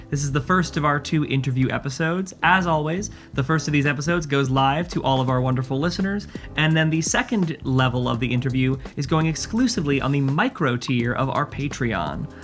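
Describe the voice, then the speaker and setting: An articulate woman hosts an interview show as its game master. Her voice sits fairly low for a woman, and her delivery is orderly and prepared, with faint music underneath. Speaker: podcast host and game master. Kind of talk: scripted-sounding podcast hosting and interviewing.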